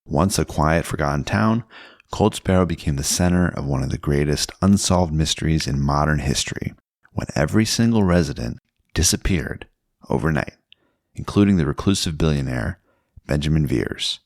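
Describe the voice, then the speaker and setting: A man's voice: a bass voice, animated, moderate pace, dry recording, studio quality. A man reading a scripted, dramatic promo narration as a podcast host introducing a show.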